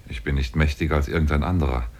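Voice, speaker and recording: An actor playing a powerful, lovestruck and possessive demon in a 1950s German radio play, speaking solemnly. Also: male voice, steady tone, quick pace, darkish tone. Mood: boastful, solemn. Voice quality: very deep, husky.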